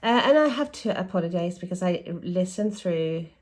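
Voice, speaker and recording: A woman's voice: fairly low pitch, animated, moderate pace, darkish tone. A woman giving a casual tarot reading, sounding bunged up from a cold and musing aloud with frequent self-corrections. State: congested from a lingering cold. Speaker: tarot reader.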